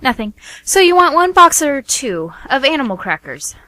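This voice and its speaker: A woman's voice: sits high, sing-song, moderate pace, pushed and bright, no echo, full character voice, low-quality recording. A woman playing a coy, quirky, playful young woman in an amateur radio comedy.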